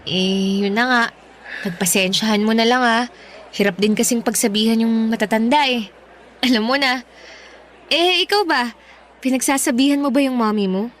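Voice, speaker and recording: A woman's voice: on the high side, moderate pace, pauses often, fairly bright tone, a little hiss or hum, lightly acted. A woman acting as a defensive, hurt young woman in a radio drama, speaking in a colloquial, sarcastic way. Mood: friendly, apologetic.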